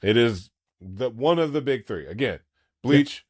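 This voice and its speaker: A man in his late thirties chatting casually as a podcast co-host, an animated and gushing fan. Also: mid-range voice, unhurried. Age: 30s.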